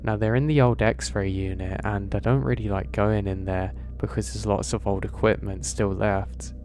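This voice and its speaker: A man narrating first-person horror stories in a steady, even storytelling read. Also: on the low side, moderate pace, a little hiss or hum. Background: background music.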